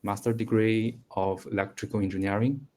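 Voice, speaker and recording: A man in his thirties whose native language is Mandarin, speaking English slowly in a conversation lesson with many fillers and restarts. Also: lowish voice, level tone, warm tone. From China. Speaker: engineering student.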